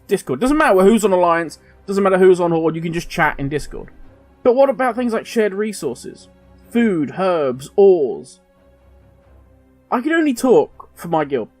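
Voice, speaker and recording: Emphatic man with a high voice. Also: darkish tone, no echo. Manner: emphatic, ranting, direct address. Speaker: gaming video host. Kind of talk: opinionated commentary to camera.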